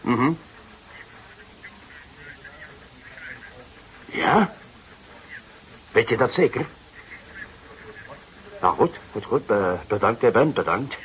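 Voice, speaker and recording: An actor playing a sceptical, patient older police detective in a radio drama, with a measured, musing delivery. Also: male voice, mid-range voice, expressive, a bit bright, highs cut off. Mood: businesslike. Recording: noisy radio drama recording.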